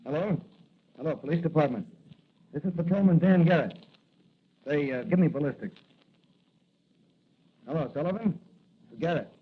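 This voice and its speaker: A man's voice: fairly high pitch, animated, moderate pace, long pauses, slightly rough. An actor playing an earnest, businesslike young policeman sleuthing in 1940s radio style. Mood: brisk, businesslike. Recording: tinny, noisy vintage radio recording.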